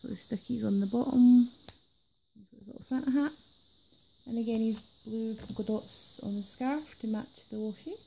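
Sick woman vlogger, gravelly and mellow, chatty unboxing at a table. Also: a little high, normal speed, a little hiss or hum, poor audio. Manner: chatty, enthusiastic about items. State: sick, voice affected. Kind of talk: casual unboxing chat. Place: indoors at a table. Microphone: camera filming her hands from above.

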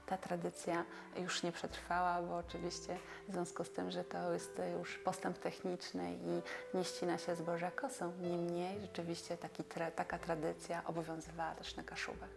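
A woman's voice: on the low side, monotone, moderate pace, creaky, few pauses. A woman in her forties from Poland, talking in an explanatory documentary interview as a folk customs expert. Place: furnished indoor room. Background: music.

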